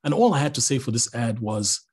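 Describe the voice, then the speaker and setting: Husky middle-aged man teaching a class. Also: mid-range voice, fairly quick. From Zambia. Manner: relaxed, chatty, jokes aside to the audience. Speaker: voice-over artist teaching a master class. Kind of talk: online master class talk. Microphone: video call.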